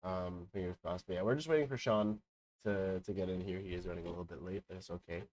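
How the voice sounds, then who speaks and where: A man hosting a casual gaming podcast, sounding congested and sick, relaxed and joking with lots of fillers. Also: low voice, quick pace, low-quality recording. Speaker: podcast host.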